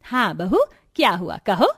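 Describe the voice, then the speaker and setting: A woman voicing a composed middle-aged mother-in-law in a cartoon, kind but firm, in a measured reasoning manner. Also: mid-range voice, wildly swooping pitch. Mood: gentle.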